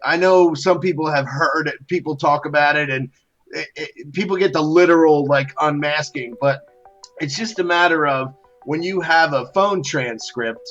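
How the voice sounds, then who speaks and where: A man co-hosting a casual political talk show, animated, repeating words and saying "you know" a lot. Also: fairly high pitch, normal speed, highs cut off, soft background music. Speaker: podcast co-host.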